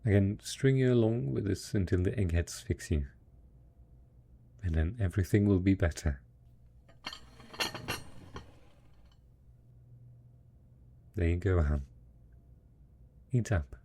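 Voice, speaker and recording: A man acting as a devoted, hopeful survivor in an intimate audio roleplay, talking tenderly and coaxingly to a spouse, with a husky, gravelly voice. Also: low-pitched, normal speed, pauses often, dark tone, exaggerated voice. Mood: thoughtful, caring.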